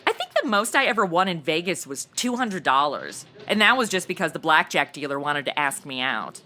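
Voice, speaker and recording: An actress playing a flighty, self-absorbed young podcaster in a comedy audio drama, chatty, slangy and gushing. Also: female voice, low-pitched, big pitch swings, brisk, pushed and bright, heavily acted. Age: young adult.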